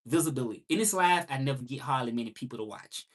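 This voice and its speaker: A man's voice: mid-range voice, animated, quick pace. A man in his thirties with a Southern US accent, talking things through in a reflective live monologue and repeating key phrases for emphasis. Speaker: livestream host.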